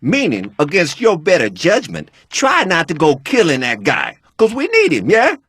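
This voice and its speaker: Cocky, very animated American hacker. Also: male voice, on the high side, normal speed, slightly rough, full character voice. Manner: slangy, animated, excitable. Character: cocky wisecracking young American male tech support hacker. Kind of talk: video game cutscene acting.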